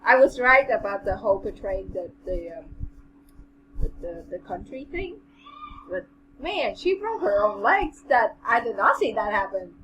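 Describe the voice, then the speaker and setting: A woman co-hosting a read-along podcast, reading and chatting casually in a halting way, often repeating words. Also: mid-range voice, animated, muffled recording, noisy background. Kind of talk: reading aloud and casual reaction chat.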